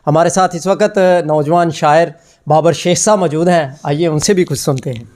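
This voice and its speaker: A man hosting a poetry gathering, speaking in a formal, flowing manner and calling out drawn-out cries of approval. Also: on the high side, darkish tone. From Pakistan. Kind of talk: formal Urdu hosting with exclamations of praise.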